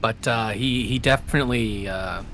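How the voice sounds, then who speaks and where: A man's voice: fairly low pitch, moderate pace, a bit bright, soft background music. A man running a tabletop game as game master, casually narrating scenes and calling for rolls.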